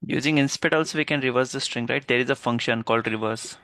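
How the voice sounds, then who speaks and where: A man's voice: mid-range voice, fast, sharp tone, no echo. A man suggesting answers in a matter-of-fact way during a group discussion. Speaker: forum participant.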